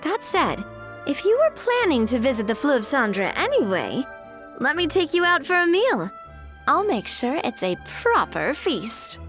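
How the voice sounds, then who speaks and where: A woman's voice: sits high, wildly swooping pitch, a little slow, fairly bright tone, soft background music, full character voice. An actress playing an earnest, principled young woman in a video game, with a frank, conversational manner. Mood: reassuring, casual.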